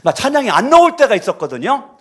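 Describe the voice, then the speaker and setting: A man preaching a sermon as pastor in a church, moving between quiet storytelling and loud, fervent exhortation. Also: extremely high pitch, very animated, fast talker, husky. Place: church sanctuary.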